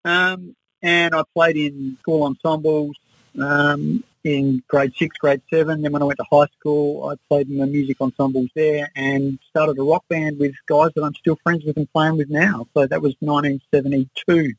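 Man, sixties, Australian accent, slightly husky, a little high-pitched, casual interview guest. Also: little pitch movement, moderate pace, dry recording, rough recording. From Adelaide, Australia. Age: 60s. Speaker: interview guest, musician and researcher.

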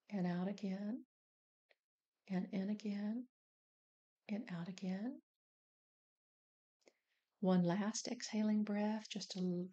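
Female meditation guide, mid-pitched, soft mellow tone, soothing and deliberate delivery. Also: muffled recording.

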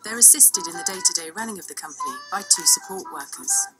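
A woman's voice: mid-range voice, very animated, fast talker, over loud music. A woman reading scripted documentary narration.

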